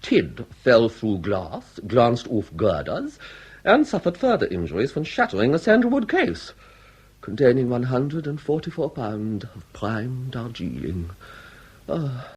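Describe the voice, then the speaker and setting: An actor playing a testy, pedantic Victorian doctor with a British accent and a lisp, precise and haughty, in a comic period radio drama. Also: male voice, mid-range voice, huge pitch swings, unhurried, full character voice. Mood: clinical. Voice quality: lisping, husky.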